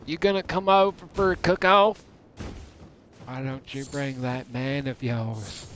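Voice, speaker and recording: Male game streamer, mid-pitched, unhurried, hamming up a folksy Southern US drawl with joking asides. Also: fairly even intonation, a bit bright. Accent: put-on thick Southern US, Texan drawl. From United Kingdom. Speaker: game livestreamer. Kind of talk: playful rambling game stream commentary. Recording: noisy, game battle sounds behind.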